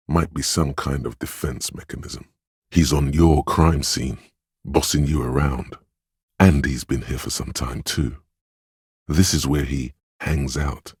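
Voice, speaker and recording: An actor playing a grave, perceptive inner voice of empathy in a game, husky and measured, gently guiding the listener in intimate narration. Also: male voice, dry recording, clean studio sound, full character voice. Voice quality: very deep, husky. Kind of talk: scripted game narration, second person.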